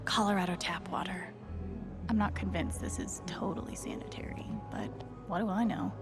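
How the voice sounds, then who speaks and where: A woman's voice: on the low side, moderate pace, lightly acted. An actress playing a wry, reflective young woman in a video game, speaking an understated inner monologue over music. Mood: quiet, musing. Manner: understated, dry, introspective.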